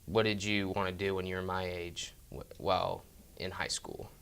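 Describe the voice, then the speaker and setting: A teenage boy with a low-ish, smooth, clear voice asks his grandfather friendly questions. His questions sound prepared in advance. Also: flat delivery, moderate pace, fairly bright tone. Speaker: student interviewer. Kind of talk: student interview.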